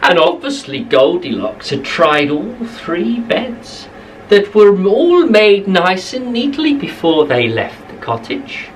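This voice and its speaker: Woman doing a bedtime story for kids, slow and super expressive, up and down a lot, slight bg noise. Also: mid-range voice, far from the mic, low-quality recording. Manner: expressive, repeats phrases for emphasis. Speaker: children's storyteller. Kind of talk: animated bedtime storytelling for young children.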